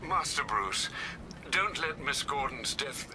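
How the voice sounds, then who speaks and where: An actor playing a loyal, elderly British butler in a video game, formal and deferential with a pleading, anxious manner. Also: male voice, mid-range voice, expressive, slow, noisy background. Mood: pleading, urgent. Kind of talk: video game cutscene acting.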